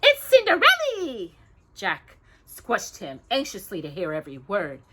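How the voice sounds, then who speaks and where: A woman's voice: sits low, lively intonation, moderate pace, heavy background noise. A woman reading a children's story aloud with dramatic, emphatic narration. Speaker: storytime reader.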